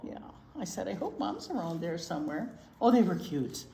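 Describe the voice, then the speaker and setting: A woman reading a picture book to young children in an expressive, chatty way, often asking them questions. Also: on the low side, moderate pace, gravelly. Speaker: children's library teacher reading aloud. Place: indoor room at a library. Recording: roomy echo, noticeable background noise.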